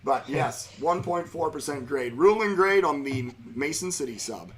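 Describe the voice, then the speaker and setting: A middle-aged man hosting a live train-watching stream, chatting casually in railroad jargon, his voice slightly hoarse from a cold. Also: a little high, expressive, moderate pace. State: slightly hoarse, under the weather.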